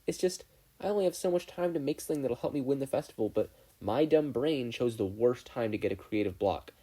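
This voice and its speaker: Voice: medium pitch, lively intonation, fairly quick, dark tone, husky. A reader voicing a nervous, earnest teenage boy inventor with an eager, apologetic manner in a fan fiction audiobook.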